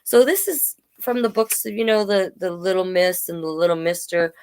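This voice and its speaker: A woman in her forties giving a casual children's read-aloud, playful and expressive, with chatty asides. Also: fairly low pitch, normal speed, smooth. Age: 40s. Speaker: children's storyteller.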